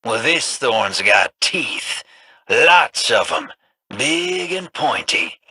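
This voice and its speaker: A man's voice: medium pitch, big pitch swings, extremely slow, sharp tone, slightly rough, no echo, studio quality, full character voice. An actor voicing a wry, laid-back scavenger in a video game, teasing as he talks. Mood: amused. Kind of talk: game voice acting.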